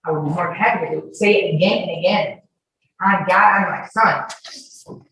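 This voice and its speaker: A woman's voice: on the low side, moderate pace, fairly bright tone. A woman giving courtroom testimony as a witness, answering questions in an emphatic, defensive way. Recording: echoey, distant courtroom audio with faint noise.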